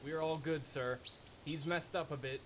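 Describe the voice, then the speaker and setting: Actor as higher-pitched soldier reporting in. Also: male voice, steady tone, moderate pace, fairly bright tone, highs cut off, slight background noise, close to an everyday voice. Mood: urgent, matter-of-fact. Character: American soldier reporting to his commander from the field. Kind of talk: military radio report in audio drama.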